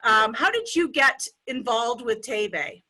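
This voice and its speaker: A middle-aged woman from Ontario hosts a radio interview, gushing with enthusiasm for her guest. Her voice is high and bright and carries a forceful edge. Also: expressive, measured pace. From Ontario, Canada. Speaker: radio host.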